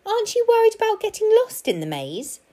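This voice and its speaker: A woman puts on a young boy squirrel from a children's story. He is small, eager and scatterbrained, pitched high with bouncy intonation. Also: wildly swooping pitch, brisk, dark tone, exaggerated voice. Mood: chatty.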